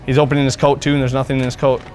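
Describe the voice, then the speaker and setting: Quick, casual young American guy. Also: medium pitch, level tone, darkish tone. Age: 20s. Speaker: prankster. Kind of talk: casual chat.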